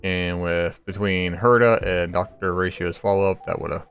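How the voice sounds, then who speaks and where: Blunt, low-voiced man doing game commentary. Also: fairly even intonation, normal speed, a bit bright, faint music behind. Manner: blunt, irritated, sweary. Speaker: gaming video host. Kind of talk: casual game playthrough commentary.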